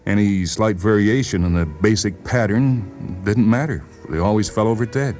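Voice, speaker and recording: A man playing an agitated, paranoid patient in a dramatized story, insistent, halting and repeating himself. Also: on the low side, darkish tone, faint music behind, natural voice. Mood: scornful. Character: agitated paranoid male patient convinced the world conspires against him. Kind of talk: dramatized short story reading.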